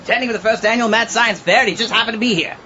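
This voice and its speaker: Man acting a high-pitched frantic mad professor. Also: rapid-fire, highs cut off, a crowd in the background, background noise, lightly acted. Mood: frantic, excited. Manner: frantic, theatrical, cackling. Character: excitable, scheming mad scientist professor on the telephone. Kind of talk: comic audio drama acting.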